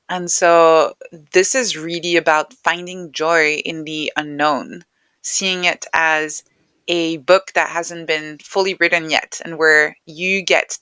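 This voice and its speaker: Female podcast guest, slightly low pitch, forward bright tone, articulate and reflective storytelling. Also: clear, resonant tone, dry recording. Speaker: podcast guest, author and neuroscientist. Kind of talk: reflective interview conversation, personal storytelling.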